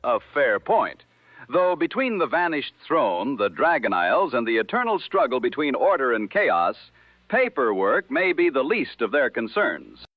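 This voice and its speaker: A man playing a wry, musing 1960s radio host with measured, theatrical phrasing on a dull vintage broadcast. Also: medium pitch, sing-song, no echo. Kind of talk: scripted 1960s radio show banter.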